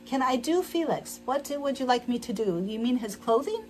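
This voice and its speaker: Brief: middle-aged woman host, chatty and rambling, slightly high voice, noisy home livestream mic. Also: lively intonation, a little fast, a little mellow. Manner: chatty, rambling, frequent laughs and restarts. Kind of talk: casual chat while sewing.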